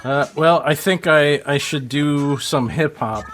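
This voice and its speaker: A man on a podcast panel, chatting casually about music in a hesitant, halting way. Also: on the high side, a bit bright.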